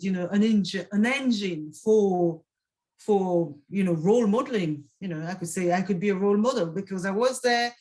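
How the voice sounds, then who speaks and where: A woman with a French accent, speaking in a reflective group discussion, halting and restarting often. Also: mid-range voice. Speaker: panel participant.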